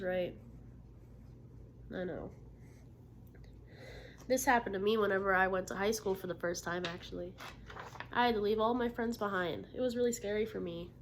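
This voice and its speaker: Expressive woman reading a storybook aloud, background noise. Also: mid-range voice, fairly quick, long pauses. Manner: encouraging, checks understanding with frequent questions. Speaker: teacher reading a picture book aloud to children. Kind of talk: interactive storybook read-aloud with questions to the listeners.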